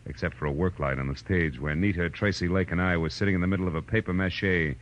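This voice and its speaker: An actor playing a wry, world-weary private detective in 1940s radio noir style, with a dry, hard-boiled manner. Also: male voice, deep voice, fairly even intonation, fast, lightly acted. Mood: wry, brisk. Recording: muffled old radio recording, faint music.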